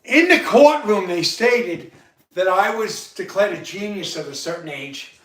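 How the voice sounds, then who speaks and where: A man with a loud voice hosting a livestream, telling a rambling personal story with halting restarts and repeated words. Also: high-pitched, animated. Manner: repetitive, halting, many restarts. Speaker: livestream host. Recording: roomy sound, far from the mic.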